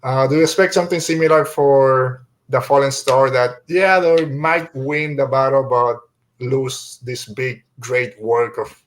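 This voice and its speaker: A man hosting a podcast in a casual fan chat, talking in a halting, rambling way with trailing thoughts. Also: mid-range voice, steady tone, low-quality recording. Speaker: podcast host.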